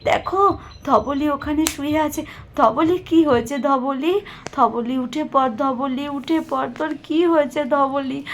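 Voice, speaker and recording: A woman's voice: sits high, slight background noise. A woman voicing a distraught, anxious village sister-in-law who calls out and laments repetitively in a puppet cartoon. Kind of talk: Bengali puppet cartoon voice acting.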